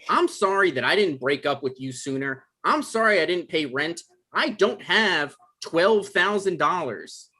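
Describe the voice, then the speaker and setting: A man's voice: a little high, lively intonation, sharp tone, husky, full character voice. A man playing an anxious, apologetic male writer who gets defensive and stammers, in a screenplay table read. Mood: defensive, sheepish. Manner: halting, stammering.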